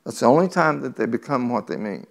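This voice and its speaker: A man's voice: medium pitch, expressive, fast talker, creaky. A man preaching a sermon in a teasing, conversational way, reading scripture and talking directly to the congregation. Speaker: pastor.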